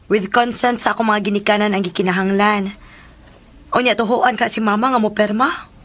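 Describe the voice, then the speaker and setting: A woman's voice: medium pitch, steady tone, moderate pace, fairly bright tone, natural voice. A woman acting a respectful seventeen-year-old girl eager to marry, in a Filipino radio drama with a Filipino accent. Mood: earnest. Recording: faint background noise.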